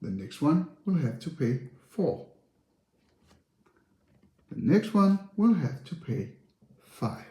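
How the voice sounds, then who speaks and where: A man's voice: a little high, sing-song, slow, pauses often, warm tone. A man narrating board game instructions in a simple, step-by-step way. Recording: roomy, echoey sound.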